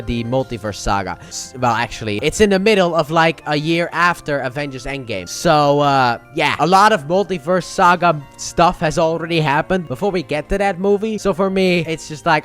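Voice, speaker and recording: Guy with a highish voice, chatty review video, emphatic opinions, soft music in the back. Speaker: movie review host. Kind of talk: casual video review commentary. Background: music under the voice.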